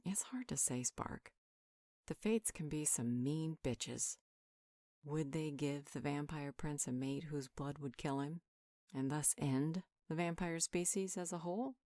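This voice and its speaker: A woman's voice: sits low, measured pace, mellow, no room sound, clean studio sound. A woman playing a nurturing, protective magical healer, speaking in a soothing, affectionate, informal way in a roleplay audio drama. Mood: thoughtful, uncertain.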